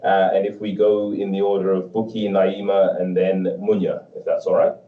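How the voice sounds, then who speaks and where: Deep-voiced male moderator on a video call, measured and chatty, heard from afar in an echoey room. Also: flat delivery, slight background noise. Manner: measured, conversational, fond of "right?". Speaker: panel moderator. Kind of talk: hosting an online panel. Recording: dull, distant, roomy call audio.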